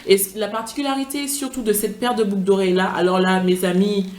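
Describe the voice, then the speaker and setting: A woman's voice: mid-range voice, steady tone, fast talker, darkish tone. Chatty, tired woman in an echoey room. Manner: chatty, playful, gushing with enthusiasm. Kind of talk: casual product-review vlog.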